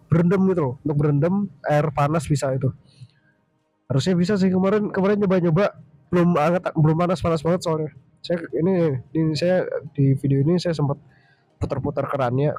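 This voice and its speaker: A man's voice: on the high side, mellow, no room sound, low-quality recording. A young Indonesian man with a stuffy, nasal voice from a cold, casually rambling through a villa review with many restarts and self-corrections. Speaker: vlogger reviewing a hotel villa.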